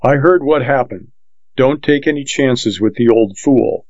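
A man's voice: mid-range voice, measured pace, a little mellow, dry recording, close to an everyday voice. A man voicing a talkative, gossipy young frontier sidekick who speaks in an offhand way. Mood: urgent. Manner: chatty, offhand. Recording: dull, lo-fi dry audiobook recording.

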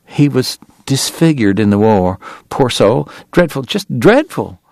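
A man's voice: mid-range voice, sing-song, a little slow, creaky, a little mellow, dry recording, studio quality. A man voicing a fussy, motherly Southern woman from Georgia in an audiobook, gushing, bossy and chatty. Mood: confiding. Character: fussy, motherly, effusive Southern doctor's wife. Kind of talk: audiobook character voice.

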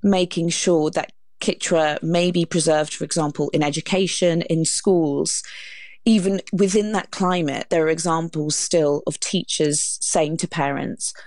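A woman's voice: deep voice, steady tone, unhurried, darkish tone, no room sound. A woman, a guest author explaining her subject articulately in an interview.

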